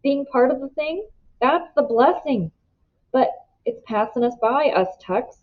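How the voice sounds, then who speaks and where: A woman's voice: high voice, expressive, a little slow, pitched above the performer's own voice. Woman reading as an earnest, weary country father, slightly muffled. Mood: calm, weary. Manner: folksy country grammar, urgent and philosophical. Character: earnest older rural father, weary and pleading.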